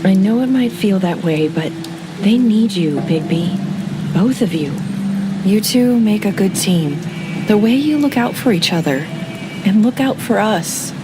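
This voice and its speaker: A woman's voice: mid-range voice, animated, unhurried, noisy background, close to an everyday voice. An actress playing an anxious young woman confessing in a game, talking in hesitant, pleading bursts. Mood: earnest. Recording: game audio with loud music.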